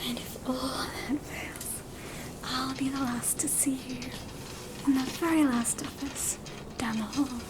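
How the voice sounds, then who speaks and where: A woman with an Australian accent playing a polite, reassuring spooky hospital doctor in an ASMR roleplay, in a soft breathy whisper. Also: high-pitched, steady tone, background noise. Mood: reassuring, calm. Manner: reassuring, unhurried.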